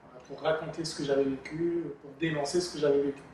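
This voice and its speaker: A middle-aged man from the Republic of the Congo talks in a measured, hesitant way, pausing on fillers, his voice a little higher than most men's. He sits some way off the mic in a very echoey room, with faint noise underneath. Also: steady tone, mellow, far from the mic. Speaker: author and illustrator guest. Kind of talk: radio interview answers.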